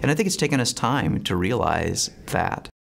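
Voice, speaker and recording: Male interviewee, very low gravelly voice, thoughtful and conversational. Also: sing-song, moderate pace.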